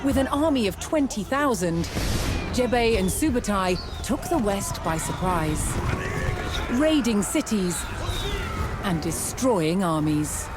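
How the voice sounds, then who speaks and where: A woman delivering scripted documentary narration in a measured, dramatic storytelling manner. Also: medium pitch, animated. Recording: music under the voice. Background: background music.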